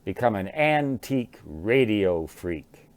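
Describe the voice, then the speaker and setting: An American man in his sixties, musing aloud in a halting, rambling monologue and often repeating words. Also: on the low side, sing-song, dry recording. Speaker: vlogger, writer and ham radio hobbyist.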